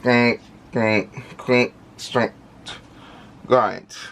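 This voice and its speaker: A man giving casual unscripted product commentary in halting, repetitive fragments, with labored, indistinct articulation from a speech impediment. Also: mid-range voice, steady tone, a bit bright, a little hiss or hum. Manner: halting, repetitive, fragmented phrasing with effortful delivery. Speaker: vlogger unboxing audio gear.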